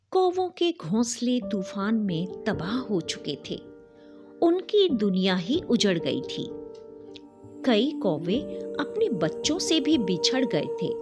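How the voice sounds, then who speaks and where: A woman narrating a children's folk tale in a deliberate, dramatic storytelling style. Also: a little high, darkish tone, husky. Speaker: storyteller and podcast host. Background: music behind the voice.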